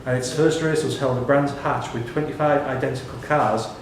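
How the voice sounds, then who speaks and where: A man's voice: mid-range voice, fairly even intonation, normal speed, a little hiss or hum. Man reading quiz questions in an echoey pub. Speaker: quiz question reader and host. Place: roomy pub during a quiz tournament. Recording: echoey pub room with crowd chatter.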